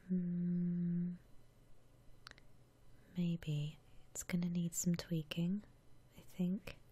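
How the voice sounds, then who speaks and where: Lady doing asmr gaming, super breathy whisper, real slow and gentle, kinda thinking out loud. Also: fairly low pitch. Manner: gentle, unhurried, musing aloud. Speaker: ASMR streamer playing a house-decorating game. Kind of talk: whispered ASMR game commentary.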